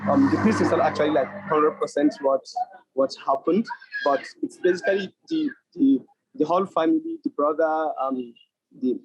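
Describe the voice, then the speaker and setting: A man speaking haltingly and off the cuff, repeating words as he goes, as a guest poet on an online call. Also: medium pitch, a little mellow. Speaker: contributing poet. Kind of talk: unscripted answer at an online literary event. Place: at work, on a weak internet connection. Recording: muffled, lo-fi internet call, dry.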